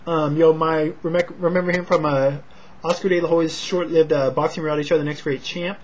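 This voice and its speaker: An American man giving a casual, low-key and hesitant fight preview, not loud and slightly short of breath. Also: fairly even intonation, brisk, slight background noise. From Sacramento, California, United States. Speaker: amateur boxing commentator and vlogger. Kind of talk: casual talk to camera, fight preview and prediction. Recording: dull, lo-fi home recording.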